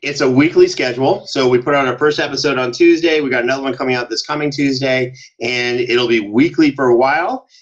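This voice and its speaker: A man chatting casually as a podcast guest, telling animated stories and acting out the exchanges he quotes. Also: medium pitch, a little fast, few pauses, highs cut off. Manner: banters, acts out quoted exchanges. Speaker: podcast guest, show co-host and audio drama producer.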